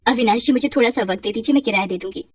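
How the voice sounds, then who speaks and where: A woman voicing a dutiful, gentle young widowed mother who speaks politely in a cartoon story. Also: fairly high pitch, very fast, husky. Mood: polite, pleading. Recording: roomy echo, distant from mic.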